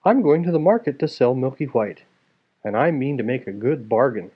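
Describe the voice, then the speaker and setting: A man's voice: medium pitch, animated, moderate pace, slightly rough. A man voicing a cheerful, boastful young boy in a storybook, confident and polite. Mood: polite, eager. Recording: dull lo-fi home recording.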